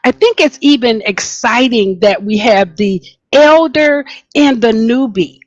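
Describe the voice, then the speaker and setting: Bright-voiced woman host, dry sound. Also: on the high side, measured pace, no room sound, highs cut off. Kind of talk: hosting a video call panel.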